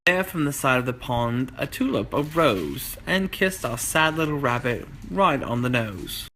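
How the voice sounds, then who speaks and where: A man's voice: medium pitch, expressive, a little slow, pushed and bright, a little hiss or hum. A man narrating a playful rhyming fairytale as the storyteller and host.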